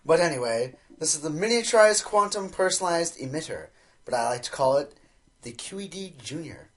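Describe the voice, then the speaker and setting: A man playing an excitable, scheming inventor pony in an audio drama, geeky, hesitant and boastful. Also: a little high, a little fast. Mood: excited, boastful.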